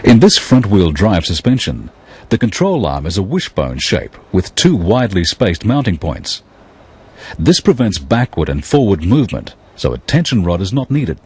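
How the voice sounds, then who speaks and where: A man giving scripted technical narration in a measured, explanatory way. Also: low-pitched, sing-song, darkish tone, breathy edge.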